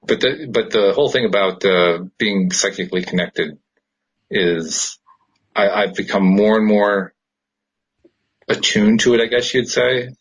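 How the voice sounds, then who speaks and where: Man, deep voice, husky, podcast host, casual conversation, thoughtful halting phrasing. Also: poor audio.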